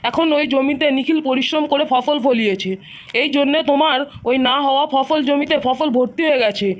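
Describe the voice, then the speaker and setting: A village headman, voiced by a woman, gives measured rulings in a high voice. He is stern, fair and authoritative, like a cartoon elder in charge. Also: natural voice. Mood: judging. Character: stern, fair male village council head.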